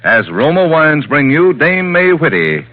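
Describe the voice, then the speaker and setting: A man's voice: a little high, sing-song, unhurried, soft background music. Casting: male American announcer with sweeping melodic delivery for 1940s sponsor spots, lo-fi broadcast sound. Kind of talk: 1940s radio advertising announcing. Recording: dull vintage radio recording.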